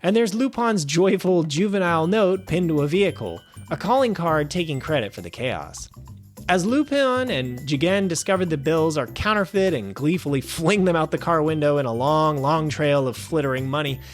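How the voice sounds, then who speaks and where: A man hosting a film review show, reading a scripted review with wry, animated asides. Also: on the high side, moderate pace, soft background music. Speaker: film review podcast host.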